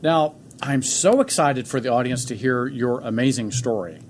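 Enthusiastic male podcast host, mid-pitched, faint hiss in the room. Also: normal speed. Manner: upbeat, encouraging interviewer. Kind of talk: podcast interview. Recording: faint background noise.

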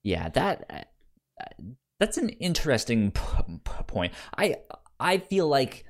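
Man talking slowly and haltingly, lively pitch. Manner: halting, with repeated sounds and words. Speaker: podcast co-host. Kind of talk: casual book discussion.

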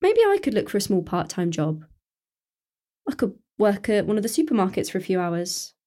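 A woman's voice: medium pitch, sing-song, moderate pace, dark tone, close to an everyday voice. A woman with a British accent playing an anxious, sensible teenage daughter in a naturalistic radio play, earnest and cautious.

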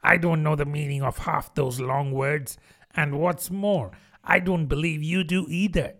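A man's voice: on the high side, steady tone, measured pace, vocal fry, fairly bright tone, pitched above the performer's own voice. A man voicing a blunt, cheeky young eaglet in a children's storybook. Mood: scornful.

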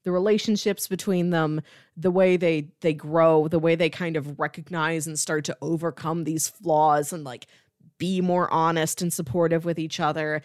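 A woman co-hosting a podcast, chatting casually and giving recommendations with playful, animated asides. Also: low-pitched, moderate pace, no echo, clean studio sound. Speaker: podcast co-host.

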